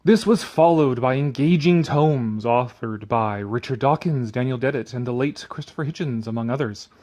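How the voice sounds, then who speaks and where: A man's voice: mid-range voice, moderate pace, a little mellow, dull audio. A man giving a dramatic reading with sarcastic, exasperated asides and casual swearing, shifting between declaiming and offhand muttering. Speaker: commentator reading an article aloud.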